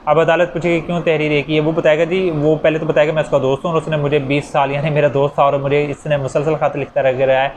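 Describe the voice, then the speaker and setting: A man's voice: a little high, little pitch movement, quick pace, hardly any pauses, a little mellow, slight background noise. A man with a Pakistani Urdu accent giving a conversational law lecture, explaining points through worked examples.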